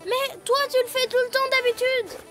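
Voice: fairly even intonation, normal speed, bright, forceful tone. A performer voicing a playful, sweet-natured young donkey in a cartoon, with a childlike voice. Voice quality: very high, childlike. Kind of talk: cartoon voice acting. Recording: music behind the voice.

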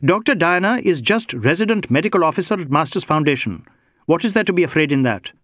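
Man voicing a brisk, high-pitched, snappish police inspector. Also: lively intonation, a bit bright, no echo, studio quality. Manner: brisk, snapping, demanding, Indian English phrasing. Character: timid but insistent Indian police inspector, snappish, questioning. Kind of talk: audiobook character dialogue.

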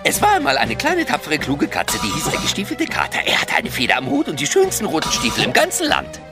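A man playing a scrappy, loyal male raven in an audio drama, with an excitable delivery. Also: on the high side, a little fast. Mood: storytelling. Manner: excitable, exclamatory. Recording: background music under the voice.

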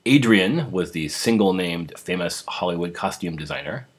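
A man with a husky voice, introducing old radio programs as the host. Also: sits low, lively intonation, moderate pace.

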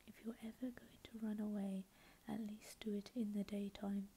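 A woman's voice: medium pitch, flat delivery, moderate pace, mellow, a little hiss or hum, lightly acted. A woman whispering the lines of a stern male faerie lord with claws and fangs, in an ASMR storybook reading. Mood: scolding.

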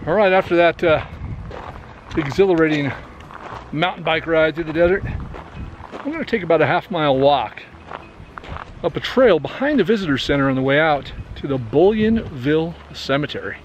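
A man's voice: a little high, expressive, normal speed, pauses often, highs cut off. A middle-aged man vlogging casually outdoors in the desert, chatty and a little winded from hiking. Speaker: travel vlogger. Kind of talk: casual outdoor vlog narration. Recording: noisy outdoor recording.

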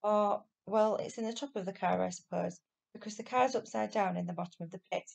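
A woman voicing an excitable, earnest and insistent young boy in a children's storybook reading. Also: mid-range voice, a little fast, creaky. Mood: eager.

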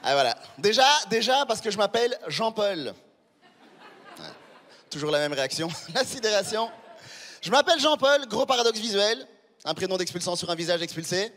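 Male stand-up comedian from Belgium, thirties, high voice, live hall reverb. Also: animated, moderate pace, fairly bright tone. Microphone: handheld stage microphone.

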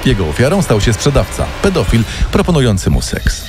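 A man's voice: mid-range voice, huge pitch swings, fairly quick, over music. A man narrating a scripted true-crime story in a dramatic storytelling style.

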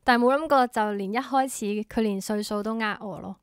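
A woman's voice: a little high, brisk, clean tone, dry recording. A woman in her twenties with a Hong Kong accent, casually telling personal stories as a studio interview guest. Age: 20s. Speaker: radio show guest. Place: small radio studio. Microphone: desk microphone.

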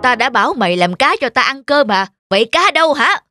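Bossy, greedy rich village master. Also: male voice, extremely high pitch, sing-song, fairly quick. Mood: angry. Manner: bossy, scolding, scheming. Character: greedy, bullying fat rich village master, middle-aged man. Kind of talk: animated folk tale acting. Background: music.

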